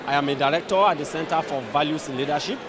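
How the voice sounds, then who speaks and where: A man from Nigeria, an organization director listing points in a steady, formal way. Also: on the high side, fast talker, a loud crowd. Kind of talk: vox pop interview.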